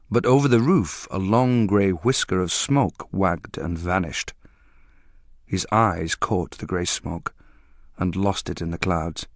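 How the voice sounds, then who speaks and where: Low-voiced man narrating quietly. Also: dry recording, studio quality. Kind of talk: scripted audiobook narration.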